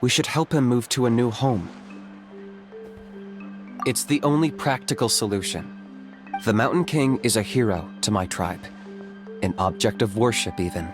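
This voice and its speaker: A man voicing a stoic young warrior in a video game, calm and deadpan, always blunt and practical. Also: medium pitch, normal speed, pauses often, over music, lightly acted.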